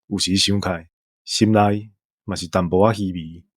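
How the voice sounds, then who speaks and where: A man's voice: sits low, fairly even intonation, moderate pace, husky, no echo, clean studio sound. A man in his forties with a Taiwanese accent, co-hosting in scripted casual banter. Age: 40s. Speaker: co-host.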